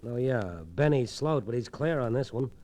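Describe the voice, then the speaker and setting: An actor playing a worried working-class boxing manager, subdued and halting, in 1940s radio drama style. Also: male voice, mid-range voice, warm tone, lightly acted. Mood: uneasy. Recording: faintly noisy old radio recording.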